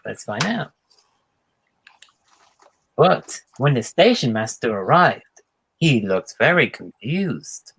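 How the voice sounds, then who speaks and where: A man's voice: medium pitch, sing-song, slow, pauses often, a bit bright, husky, poor audio. A man reading a children's storybook aloud as a narrator. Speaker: storybook reader.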